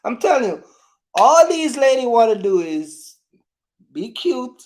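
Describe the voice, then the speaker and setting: A man hosting a casual live question-and-answer chat, speaking in a halting, repetitive way and often addressing his audience directly. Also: very high voice, sing-song, a little mellow, low-quality recording. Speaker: livestream host.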